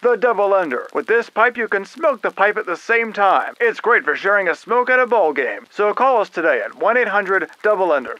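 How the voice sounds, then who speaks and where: A peppy salesman from an old radio commercial, put on by a man. He sounds high and bright, selling hard over a dry, muffled lo-fi recording. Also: dry recording. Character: peppy male old-time radio pitchman. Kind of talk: 1940s-style radio advertisement.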